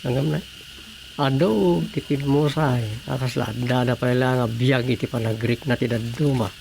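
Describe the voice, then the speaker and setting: A man's voice: medium pitch, steady tone, normal speed, creaky, darkish tone, slight background noise, close to an everyday voice, poor audio. A man acting in an Ilocano radio drama as a chatty, reflective village man, with an Ilocano Filipino accent. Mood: somber, reflective.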